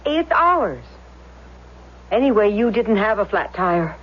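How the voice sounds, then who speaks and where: An actress playing a troubled, suspicious wife, hesitant and probing, in a 1970s radio drama. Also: female voice, mid-range voice, very animated, slow. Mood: tense, accusing. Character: troubled, suspicious wife confronting her husband. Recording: muffled old radio recording.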